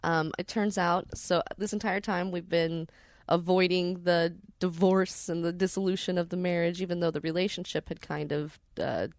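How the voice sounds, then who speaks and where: A woman with a fairly low voice chats as a podcast guest, telling personal stories. She speaks haltingly and chooses somewhat formal words. The sound is close and dry. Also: fairly even intonation, no echo. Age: adult parent. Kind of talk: casual conversational storytelling.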